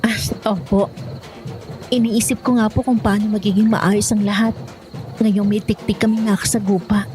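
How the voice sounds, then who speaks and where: A woman's voice: medium pitch, level tone, normal speed, warm tone, soft background music, lightly acted. A woman with a Filipino accent playing a polite, anxious pregnant young wife in an audio horror drama. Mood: guarded, polite.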